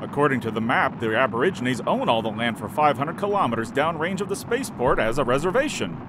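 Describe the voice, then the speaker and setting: A man's voice: medium pitch, very animated, quick pace, background noise, natural voice. A man playing an earnest, polite space marshal in a radio serial, straight-faced and matter-of-fact. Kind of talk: old-time radio serial acting.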